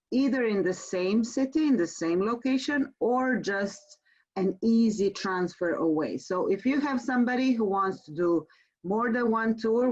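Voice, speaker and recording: A woman from Croatia with a Croatian accent gives a webinar sales presentation as a tour company presenter, speaking haltingly with frequent pauses. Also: medium pitch, a little mellow. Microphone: online webinar call. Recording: muffled, band-limited webinar call audio.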